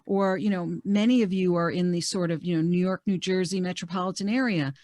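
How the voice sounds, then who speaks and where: A woman's voice: on the low side, fairly even intonation, fairly quick, no echo. A middle-aged woman career coach presenting a networking webinar from her home office over a video call, talking conversationally with plenty of fillers. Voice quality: loud voice. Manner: conversational, lots of fillers and restarts.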